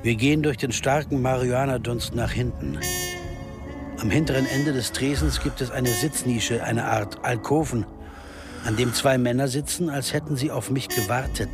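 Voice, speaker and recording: Guy acting sober sleuth narrator for a radio crime play, deliberate, normal pitch, music under the voice. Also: close to an everyday voice. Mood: brooding, observant. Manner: understated, deliberate, noir-like storytelling. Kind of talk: first-person radio crime narration and dialogue.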